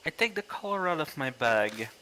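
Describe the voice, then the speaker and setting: A man's voice: on the high side, sing-song, moderate pace, sharp tone, slight background noise, rough recording. A man reading a horror visual novel aloud on a livestream, with casual commentary in between. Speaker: livestreamer playing a horror game.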